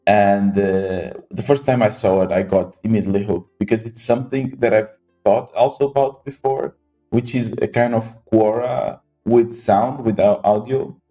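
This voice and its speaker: A man with a fairly low, mellow voice hosts a casual interview. He feels his way through sentences, hesitating and repeating words. Faint music sits under the recording. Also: steady tone, moderate pace. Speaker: podcast host interviewing startup founders.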